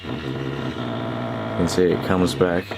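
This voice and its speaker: A man with a low-pitched voice hosts a casual repair vlog. He speaks hesitantly, working things out aloud as he goes. The recording carries clear background noise and an electrical hum. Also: slow. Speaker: host of a radio repair video.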